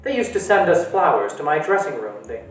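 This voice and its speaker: A man's voice: fairly high pitch, big pitch swings, brisk, pitched above the performer's own voice. A grand old retired actress from a storybook, her speech rising and falling with theatrical flair, as a man puts her on. The voice rings in an echoey room, heard from far off over faint music.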